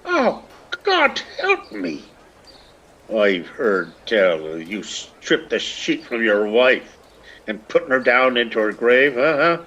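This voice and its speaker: A man's voice: mid-range voice, huge pitch swings, slow pace, sharp tone, highs cut off, exaggerated voice. An actor playing a ragged, lazy older peasant man who grumbles and needles in a lilting, theatrical radio play style. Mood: whining protest.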